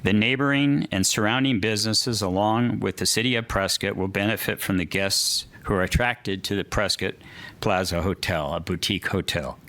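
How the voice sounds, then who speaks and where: A man's voice: lowish voice, moderate pace, a bit bright. A man presenting plans for a hotel to a city commission at a public meeting, reading from a prepared statement, listing points with a few fillers.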